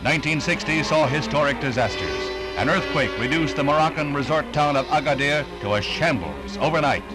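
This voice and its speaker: A man's voice: a little high, lively intonation, brisk, loud music behind the voice, low-quality recording. An American man narrating a scripted 1960s newsreel in a dramatic, authoritative announcer's delivery, on a vintage soundtrack.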